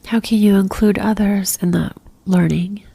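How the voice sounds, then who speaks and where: A woman leading a guided religious meditation in a soft, near-whispered voice, gentle and calm, leaving long pauses. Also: medium pitch, vocal fry, breathy edge.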